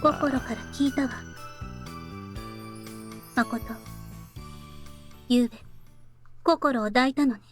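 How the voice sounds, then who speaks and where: An actress playing a cold, restrained young woman in a visual novel, questioning quietly without raising her voice. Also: high-pitched, measured pace, pauses often. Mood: cold, accusing. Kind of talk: Japanese visual novel voice acting. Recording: game music behind.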